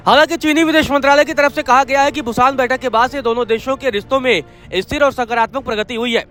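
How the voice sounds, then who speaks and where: A man's voice: very high voice, a bit bright. A man presenting scripted news narration in a formal, explanatory way. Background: background music.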